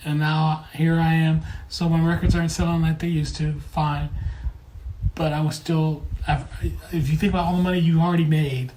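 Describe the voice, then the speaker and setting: Woman, deep-pitched voice, podcast interviewer, casual conversational, agreeable paraphrasing. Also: flat delivery, normal speed, noisy background.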